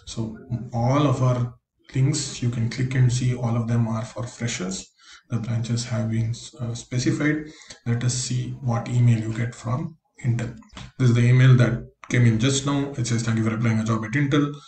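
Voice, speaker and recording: Man with a flat, mellow voice. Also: mid-range voice, normal speed. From India. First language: Konkani. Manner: explains step by step, plain and practical. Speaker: career coach. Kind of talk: screen-recorded tutorial walkthrough. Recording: echoey room with faint hiss.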